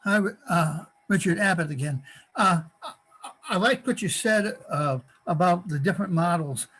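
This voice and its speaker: Older male participant, stammery and chuckling, somewhat high voice, on a video call. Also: expressive, fairly bright tone, breathy edge, low-quality recording. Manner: halting, repeats words, chuckles often. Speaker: workshop participant. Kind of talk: casual chat.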